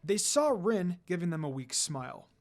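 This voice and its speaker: An amateur narrator reads fan fiction aloud. The voice sits at a middle pitch and moves up and down a great deal, giving the storytelling an expressive feel. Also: normal speed, faint music behind.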